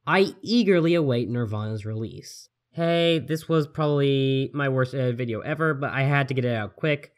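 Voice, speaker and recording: A video essayist reading a scripted game review in an earnest, articulate, carefully phrased way. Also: mid-range voice.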